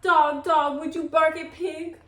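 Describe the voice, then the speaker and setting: A woman's voice: sits high, flat delivery, a little slow, voice pushed up. A woman voicing a tearful old woman who pleads with animals to help her get home, in a singsong, pleading way. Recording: roomy echo.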